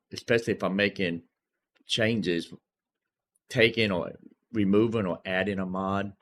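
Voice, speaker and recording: A man casually commentating as a gaming streamer, thinking aloud and informally talking through each step. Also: low voice. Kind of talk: casual gameplay and modding commentary. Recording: clearly noisy background.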